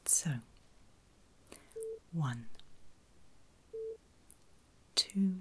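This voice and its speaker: A woman whispering in a breathy, soothing and reassuring way, as a caregiver in an ASMR medical roleplay. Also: deep voice, animated, a little mellow, slight background noise. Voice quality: breathy, mostly unvoiced whisper. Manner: soothing, reassuring, slow and deliberate. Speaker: ASMR performer playing a surgical nurse.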